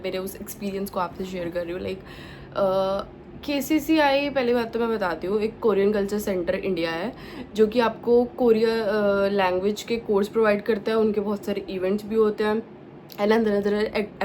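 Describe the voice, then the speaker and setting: A woman's voice: mid-range voice, a little mellow, slight background noise. A young woman from India, a native Punjabi speaker, chatting casually in a vlog and sprinkling English words and fillers into her talk. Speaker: vlogger. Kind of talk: casual chat.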